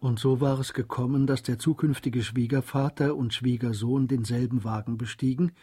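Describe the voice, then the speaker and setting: A man's voice: mid-range voice, fairly even intonation, normal speed, mellow, husky. A man reading scripted literary narration as the narrator of a radio drama.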